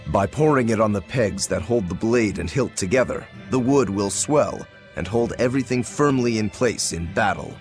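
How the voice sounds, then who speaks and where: An actor playing an easygoing male swordsman demon who loves drink, talking in a relaxed, teasing way in a video game cutscene. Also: lowish voice, moderate pace, few pauses, soft background music, natural voice. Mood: casual. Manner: laid-back, teasing.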